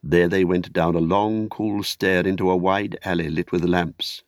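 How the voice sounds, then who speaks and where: A man reading literary fiction as an audiobook narrator, in a measured storytelling delivery. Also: sits low, steady tone, dry recording, studio quality.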